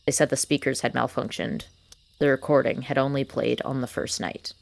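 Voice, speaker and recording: A woman with a scratchy voice reading a scary story aloud slowly and quietly, then chatting and laughing with friends. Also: a bass voice, steady tone, vocal fry, no room sound. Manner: slow, hushed reading with giggly chat after. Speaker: streamer reading scary stories.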